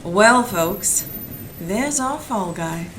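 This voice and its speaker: A woman's voice: medium pitch, animated, extremely slow, a bit bright, poor audio. A tough, wisecracking lady detective, voiced by a woman, speaks bluntly in hard-boiled style. Her voice sits mid-range. A faint hiss lies under the radio play. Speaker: actor in community audio drama.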